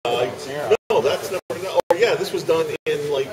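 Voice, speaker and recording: Relaxed man amid crowd chatter. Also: fairly high pitch, moderate pace, far from the mic, rough recording. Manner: easygoing, rambling with fillers. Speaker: actor and series creator. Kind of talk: casual behind-the-scenes interview.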